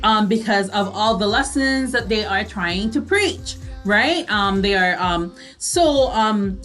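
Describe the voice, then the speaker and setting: A woman's voice: mid-range voice, lively intonation, takes it slowly, fairly bright tone, faint music behind. A woman co-hosting a radio show in a casual, explanatory way, restating points for emphasis. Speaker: radio talk show co-host.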